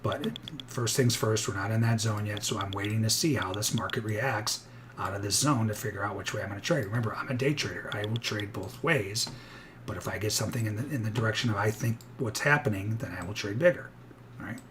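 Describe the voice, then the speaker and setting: Kinda hoarse low-voiced guy rambling about his trades live, noisy stream. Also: a little fast. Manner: chatty, thinks aloud, many restarts. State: a little hoarse. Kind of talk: casual live trading commentary.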